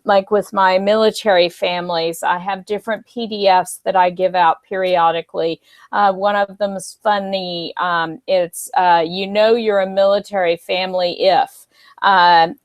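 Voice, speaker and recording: A woman's voice: medium pitch, no room sound. Earnest woman giving advice. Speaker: guest author. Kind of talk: live online panel chat.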